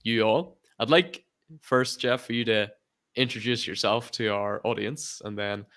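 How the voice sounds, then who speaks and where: Upbeat young man with a smooth voice. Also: on the low side, steady tone, unhurried, a bit bright. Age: 20s. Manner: upbeat and welcoming. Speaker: webinar host. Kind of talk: hosting an interview webinar.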